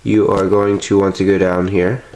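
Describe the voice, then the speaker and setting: A young man with a blocked, stuffy nose talks a little low as he explains phone settings step by step. His delivery is casual and meandering, full of little filler sounds, picked up by a camera close by indoors. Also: little pitch movement, moderate pace, a little mellow, poor audio. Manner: loose and chatty, many filler sounds. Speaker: tech tutorial host.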